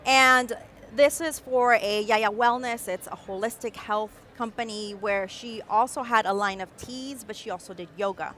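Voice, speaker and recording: A young adult woman, born in Mexico City and raised in Caracas, explaining her design process with expressive enthusiasm in a live-stream interview. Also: on the high side, normal speed, hardly any pauses, a little hiss or hum. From Mexico City, raised in Caracas, Venezuela. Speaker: guest designer.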